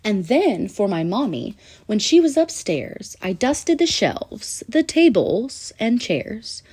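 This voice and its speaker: Female children's book narrator, mid-pitched and very lively, sing-song rhyming read-aloud with deliberate pauses. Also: husky.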